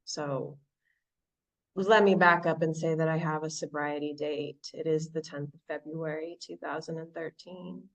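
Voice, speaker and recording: A woman from Southern California with an American accent, sharing her story reflectively at a recovery meeting, often pausing and restarting. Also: low-pitched, fairly even intonation, distant mic, poor audio. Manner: reflective, halting, many restarts and fillers. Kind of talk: personal recovery share. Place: online meeting from home.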